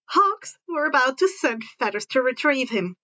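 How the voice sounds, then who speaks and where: Woman w a high voice reading fanfic out loud, trips on words a bit, slightly muffled mic. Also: very animated, normal speed. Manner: stumbles and rereads words. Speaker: narrator reading fan fiction. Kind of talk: informal story narration.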